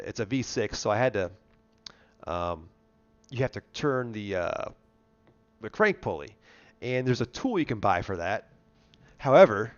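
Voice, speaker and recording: A congested, nasal man with a worn voice, chatting and telling stories casually as the host of a live car show. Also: lowish voice. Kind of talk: casual chat and storytelling. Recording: dull, lo-fi stream audio.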